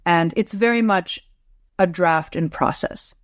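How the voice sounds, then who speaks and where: A woman's voice: fairly low pitch, no room sound, clean studio sound. A middle-aged woman, a literature professor, answering interview questions in a careful, explanatory way. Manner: measured, explanatory, careful restarts.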